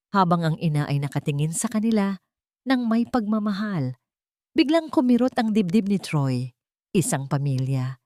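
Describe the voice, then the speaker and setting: A woman with a Filipino accent reading a scripted romance story in a measured, dramatic way with short pauses between phrases. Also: fairly low pitch, darkish tone, dry recording, clean studio sound. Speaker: story narrator.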